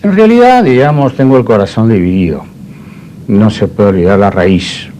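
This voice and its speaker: An older man from Spain, discussing history as an interview guest in a deliberate, discursive, formal way. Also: on the low side, lively intonation, dark tone, slight background noise, low-quality recording. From born in Madrid, Spain; settled in Argentina.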